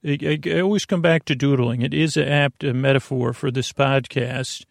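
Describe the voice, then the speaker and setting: Creaky voiced guy doing a rambly podcast intro, keeps trailing off, really dry close mic. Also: medium pitch, steady tone, no echo. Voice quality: creaky, gravelly. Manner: halting, digressive, trails off mid-thought. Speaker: podcast host.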